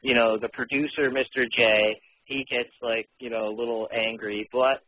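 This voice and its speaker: A man's voice: mid-range voice, flat delivery, normal speed, slight background noise. A man who hosts a radio show, chatting casually and bluntly as an interview guest. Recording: tinny phone-like line.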